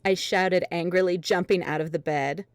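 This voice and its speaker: A woman with a very low voice narrates fan fiction as a dramatic reading. The sound is dry and close, with no room around her. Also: steady tone, normal speed, no room sound.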